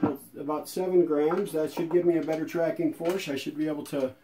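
A man casually narrating a hands-on demonstration as host, folksy and unhurried, thinking aloud as he goes. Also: on the high side, fairly even intonation, mellow. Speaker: video host and radio restorer.

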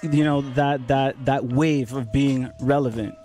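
A man's voice: medium pitch, fairly even intonation, a little slow, warm tone, soft background music. A man hosting a casual radio interview from a studio. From Canada. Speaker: radio host.

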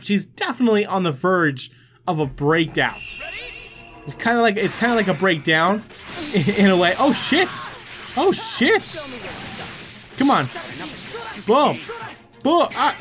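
A man giving casual, rambling gameplay commentary as he plays, swearing freely and often repeating himself. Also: high-pitched. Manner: profane, rambling, often repeats words and exclaims. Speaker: gaming video creator and player.

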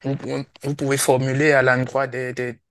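A man's voice: medium pitch, monotone, moderate pace, fairly bright tone. A man hosting an interview over a video call, asking questions in a hesitant way with many fillers. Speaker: interviewer host.

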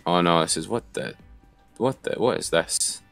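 Male software tutor, deep register, informal and hesitant walk-through, faint music bed. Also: normal speed, vocal fry, no room sound. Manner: hesitant, thinking aloud. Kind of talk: informal step-by-step screen tutorial.

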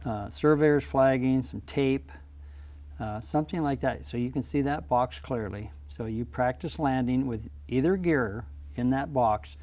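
A man's voice: mid-range voice, a little slow, a little mellow. An older man, a pilot, explaining flying practice in a plainspoken, practical way in a small TV studio interview on a lapel mic. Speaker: guest pilot.

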